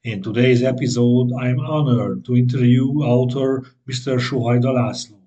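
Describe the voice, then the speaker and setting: A man's voice: medium pitch, steady tone, normal speed, dark tone, highs cut off. A man hosting a history podcast, formal and earnest, presenting and asking questions. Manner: formal, earnest, some hesitant fillers when asking. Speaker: podcast host. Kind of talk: scripted intro and interview questions.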